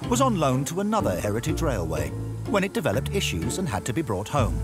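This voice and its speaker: A man with an English accent narrating a documentary in a wry, storytelling way. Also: mid-range voice. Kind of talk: scripted narration. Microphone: studio voice-over. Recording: voice-over over loud music. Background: music.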